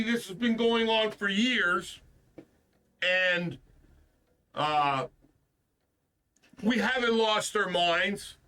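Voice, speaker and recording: A man hosting a gaming stream, still stuffed up after the flu, rants in a frustrated, profane way and keeps repeating himself. His voice sits high and bright. He sounds a little distant from the microphone. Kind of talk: casual ranting stream talk.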